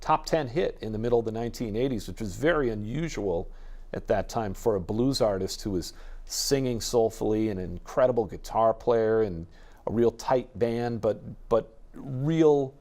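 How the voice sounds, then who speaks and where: Male expert guest, somewhat deep voice, informative and enthusiastic, rambling explanatory interview delivery. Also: normal speed, a little mellow. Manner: discursive, explanatory. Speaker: radio jazz expert guest.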